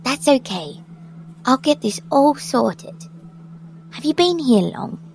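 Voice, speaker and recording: An actress playing a resolute, composed rescuer in an audio drama, measured and creaky-voiced. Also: female voice, sits high, very animated, darkish tone, full character voice, rough recording. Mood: calm, probing. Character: resolute, composed female rescuer questioning a child gently.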